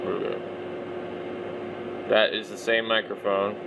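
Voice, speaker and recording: A man's voice: on the low side, level tone, measured pace, vocal fry, heavy background noise. A man in his forties giving casual, off-the-cuff product commentary, rambling and thinking aloud. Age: 40s. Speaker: vlogger, radio hobbyist.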